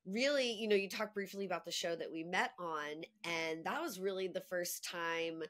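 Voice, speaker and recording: A woman in her thirties, a podcast guest chatting in a lively way with frequent laughs and filler words. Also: fairly low pitch, normal speed. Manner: chatty and animated, laughs easily, many "like" fillers. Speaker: guest, copywriting agency owner. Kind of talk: casual podcast conversation.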